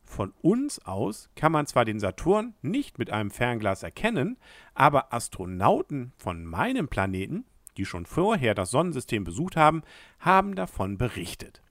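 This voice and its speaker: A man's voice: medium pitch, wildly swooping pitch, moderate pace, no room sound. A man reading a children's story aloud as a friendly letter, addressing the listener directly. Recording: dry close recording.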